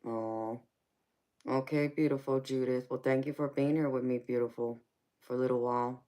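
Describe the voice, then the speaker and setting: A woman's voice: very deep voice, steady tone, normal speed, warm tone. A woman in her forties hosting a live stream, chatting casually with viewers and calling them baby, honey and sweetheart. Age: 40s. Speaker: tarot reader and livestream host.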